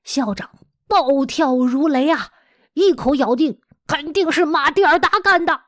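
A woman with an expressive, dramatic storytelling manner, speaking as a teacher to young listeners. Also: high-pitched, measured pace, no echo, clean studio sound. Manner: dramatic, animated delivery with rhetorical questions addressed to young listeners. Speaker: teacher hosting a children's story podcast.

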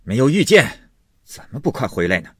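A man's voice: deep voice, very animated, moderate pace, fairly bright tone, husky, no echo, clean studio sound, full character voice. A man playing a hot-tempered, sneering husband who blusters, snorts and grumbles sarcastically in a storybook reading. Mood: furious.

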